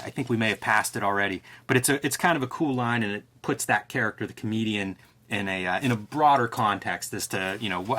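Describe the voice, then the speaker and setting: Bright-voiced man in casual analytical chat. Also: fairly low pitch, a little hiss or hum. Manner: conversational, thinking aloud. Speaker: co-host of a comics review show.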